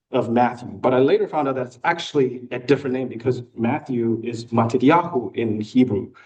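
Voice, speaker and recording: A young American man giving a casual personal talk with slides to a club meeting, relaxed and chatty with frequent fillers. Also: medium pitch, distant mic, rough recording. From United States. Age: young adult. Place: meeting room.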